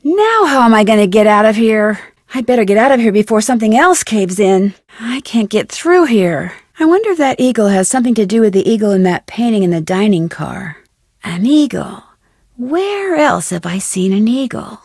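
A young woman voicing a plucky, curious young amateur detective in an adventure game, musing to herself with clear enunciation. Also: mid-range voice, very animated, moderate pace, a little mellow, no echo, studio quality. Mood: puzzled, thoughtful. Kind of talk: scripted adventure game voice lines.

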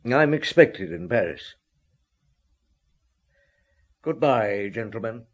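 A calm, probing police detective in a radio mystery. His voice is deep and moves widely in pitch, while his questions come slow and terse. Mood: calm, final. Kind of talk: radio drama acting.

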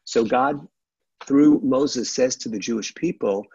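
A middle-aged man, a rabbi, giving a measured, earnest sermon from a sunlit room at home over a laptop webcam. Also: medium pitch, dark tone, slightly rough, no echo. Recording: dull, dry webcam audio.

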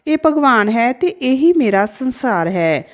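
A kindly, frail old priest and father from an emotional storybook tale, acted out by a woman narrator. The voice is rather high, with animated rises and falls. He speaks gently, as one giving a blessing. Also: measured pace. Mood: resigned, tender.

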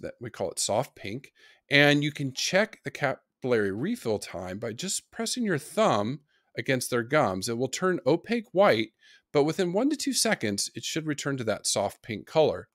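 Middle-aged guy teaching horse care tips, animated voice, dry close sound. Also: mid-range voice, no room sound. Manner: practical, advice-giving explanation. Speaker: equine nutrition lecturer. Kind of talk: online course lecture.

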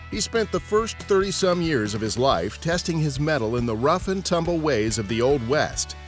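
American guy narrating a podcast, lively up and down voice, faint guitar behind. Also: mid-range voice. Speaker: podcast host. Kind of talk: scripted narration. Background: guitar music.

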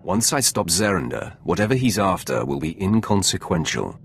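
Sith warrior, game character, deep voice, lively intonation, cold, terse, assured. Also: male voice, moderate pace, gravelly, a little hiss or hum, full character voice. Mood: cold, confident. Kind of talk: scripted video game acting.

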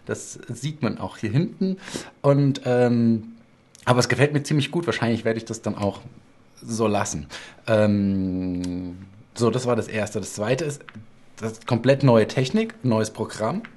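A man's voice: fairly low pitch, a little slow, darkish tone. A middle-aged man hosting a casual, chatty interview from a home studio. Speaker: talk show host. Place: home studio room indoors.

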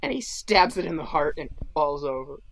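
High-voiced young man improvising a story. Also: very animated, fairly quick, a bit bright, rough recording. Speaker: improv podcast performer. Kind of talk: casual improvised story narration. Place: home room, video call.

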